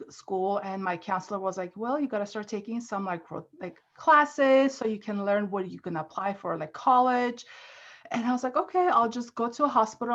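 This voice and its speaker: Guest: woman from Armenia, thirties, casual storyteller, filler-heavy, muffled remote recording. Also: medium pitch. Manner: chatty, heavy on "like" and "you know". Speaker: podcast guest.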